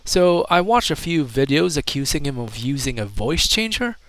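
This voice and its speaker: A man's voice: a little high, animated, normal speed, a bit bright, dry recording. A man giving opinionated, accusatory commentary in the manner of a video essay. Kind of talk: critical commentary.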